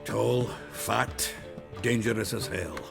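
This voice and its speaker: Casting: a crusty, plain-spoken fantasy-game local, low creaky voice, halting earthy delivery, music behind. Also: male voice. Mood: blunt, grumbling. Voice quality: gravelly. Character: gruff, crusty, foul-mouthed fantasy townsman. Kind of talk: video game cutscene acting. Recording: music under the dialogue.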